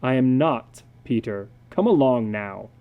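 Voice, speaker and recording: A man's voice: mid-range voice, expressive, unhurried, phone-quality sound. A man reading the part of a stern, troubled father who is frustrated with his children.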